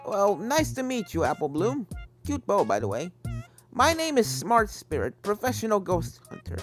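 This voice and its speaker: A woman's voice: medium pitch, huge pitch swings, moderate pace. A woman voicing a brave, kindly old male unicorn ghost hunter in a story reading, hearty and reassuring, with music behind. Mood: friendly, curious. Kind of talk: fan fiction story reading.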